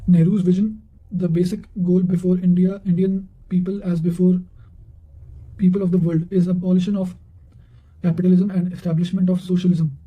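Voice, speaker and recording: Male reader for revision notes: flat intonation, high register, occasional self-corrections. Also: moderate pace, lo-fi sound. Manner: reads with stumbles and self-corrections. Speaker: narrator reading quotations for exam revision. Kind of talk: read-aloud quotations, study revision.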